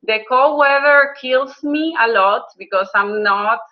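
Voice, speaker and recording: A woman's voice: high-pitched, measured pace, lo-fi sound. A woman, a guest speaking earnestly and hesitantly, with frequent ums and restarts. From Venezuela. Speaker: guest, health coach and business owner. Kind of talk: conversational interview.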